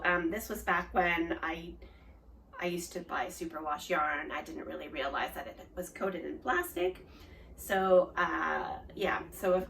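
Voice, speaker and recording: A woman in her forties with an Australian accent hosts a knitting podcast, chatting casually with lots of ums and restarts, her voice a little hoarse from a cold. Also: lowish voice, recorded from a distance, slight background noise, low-quality recording. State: slightly hoarse, recovering from a cold. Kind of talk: casual show-and-tell chat.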